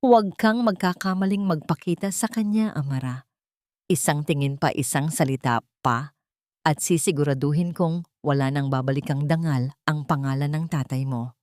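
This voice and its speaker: Female narrator as villainous older Filipino stepmother, audio drama, low, cold threats, dry sound. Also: moderate pace, a little mellow, no room sound, close to an everyday voice. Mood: menacing.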